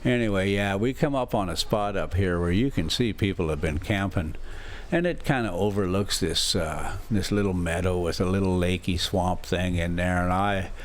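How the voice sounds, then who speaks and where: A man hosting a casual travel vlog, rambling in a folksy, halting, thinking-out-loud way as he chats and reads aloud. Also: deep voice, a little hiss or hum. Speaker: RV travel vlogger and host.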